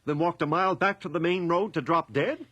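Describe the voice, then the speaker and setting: A man's voice: a little high, brisk, a bit bright, no echo, highs cut off. A man acting in a radio drama as an anxious small-town mayor and father, flustered and defensive. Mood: grave, uneasy.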